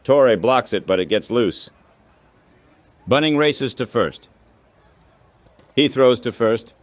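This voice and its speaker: A man calling baseball play-by-play in measured, clipped phrases, like scripted radio commentary. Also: medium pitch, lively intonation, no room sound.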